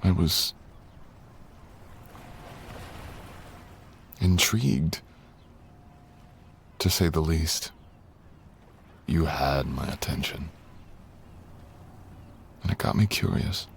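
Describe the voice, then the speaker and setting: A man acts out a brooding dragon lord in an intimate fantasy audio roleplay. His voice is extremely low and full of gravel, and he speaks slowly and deliberately. He sounds tender and seductive, with formal, storybook phrasing. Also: a little hiss or hum, heavily acted. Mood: tender, confessional. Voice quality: very deep, gravelly, husky, often breathy.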